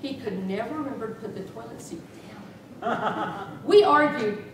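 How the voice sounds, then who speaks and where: Echoey, theatrical high-pitched woman storyteller. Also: lively intonation. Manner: theatrical, deliberate storytelling with dramatic emphasis. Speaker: contest speaker. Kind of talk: humorous tall-tale speech to an audience. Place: small meeting room with rows of chairs. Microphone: far camera. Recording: echoey room from far off, noisy rumble.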